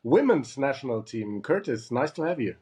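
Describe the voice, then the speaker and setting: A man's voice: mid-range voice, very animated, normal speed, dark tone. A German man hosting an interview in English, asking friendly, hesitant questions. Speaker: interviewer, host. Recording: dull lo-fi sound.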